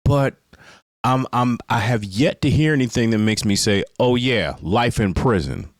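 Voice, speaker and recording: A man's voice: medium pitch, animated, unhurried, dry recording, studio quality. A man co-hosting a podcast in casual chat, rambling with many fillers and acting out little quotes. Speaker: podcast co-host.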